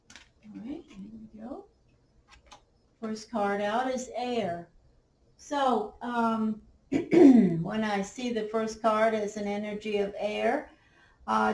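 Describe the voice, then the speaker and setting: Casting: tarot reader, woman, raised pitch, slightly hoarse, halting with fillers, roomy sound off the mic. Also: darkish tone, far from the mic, a little hiss or hum. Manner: slow, halting, many fillers. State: slightly losing her voice. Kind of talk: unhurried tarot reading. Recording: roomy, off-mic, faint ambience.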